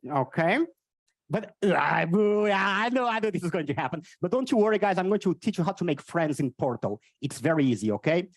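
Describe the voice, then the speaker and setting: A Portuguese man with a Portuguese accent and an odd, cartoonish voice, doing energetic stand-up and teasing the audience on stage. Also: high-pitched, brisk, no echo, studio quality. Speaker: stand-up comedian. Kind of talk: live stand-up with crowd work. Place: on stage at a live event.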